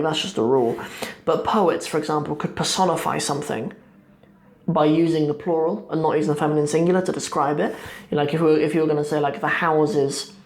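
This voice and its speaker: A young man in his twenties teaching Arabic poetry line by line, thinking aloud with many pauses and restarts, seated at a desk in a small room. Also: fairly high pitch. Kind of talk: explaining a poem line by line.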